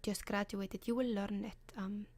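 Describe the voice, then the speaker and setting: A woman with an Icelandic accent, whispering gentle advice in an ASMR style, often pausing with soft ums. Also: medium pitch, steady tone. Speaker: ASMR advice column host.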